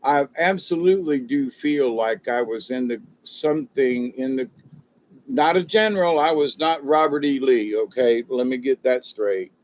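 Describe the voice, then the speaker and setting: Older man, slight southern drawl, folksy rambling livestream chat, mid-pitched voice, dull lo-fi sound. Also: moderate pace. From born in Ohio, raised in Florida and South Georgia. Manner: folksy and digressive, chuckling at his own jokes, mimics others in a high voice. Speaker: livestream host chatting with viewers. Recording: dull lo-fi audio.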